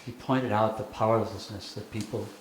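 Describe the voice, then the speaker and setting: An older man, a bishop, speaking thoughtfully at a lecture with many pauses and fillers. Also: lowish voice, fairly even intonation. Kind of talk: lecture and Q&A remarks. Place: lecture hall. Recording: echoey hall with background noise.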